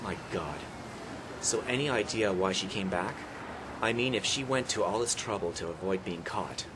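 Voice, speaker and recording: An incredulous male police sergeant in a radio play, played by a man, with a lowish voice. He questions with authority while sirens and street noise sound behind him. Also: moderate pace, lightly acted. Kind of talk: amateur radio play acting. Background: police sirens, vehicles.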